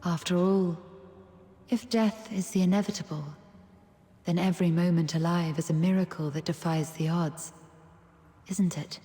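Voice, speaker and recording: An actress voicing a serene, wise woman guide in a video game, speaking in a gentle, measured, philosophical manner. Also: on the low side, steady tone, natural voice. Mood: solemn, consoling. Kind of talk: solemn video game dramatic monologue. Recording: echoey long reverb, background music.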